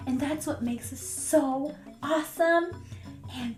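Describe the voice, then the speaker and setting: High voiced lady doing storytime for kids, very expressive, echoey room, music behind. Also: takes it slowly. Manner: animated, addressing young children. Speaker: teacher reading aloud to children. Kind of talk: expressive children's storybook reading and talk.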